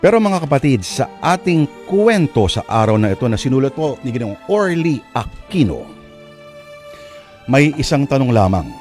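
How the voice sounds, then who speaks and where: A man's voice: medium pitch, big pitch swings, a little mellow. Theatrical man hosting over music. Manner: theatrical, rhetorical, deliberate phrasing with suspenseful pauses. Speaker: radio drama host and narrator. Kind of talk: dramatic spoken introduction to a radio drama. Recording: music bed behind voice.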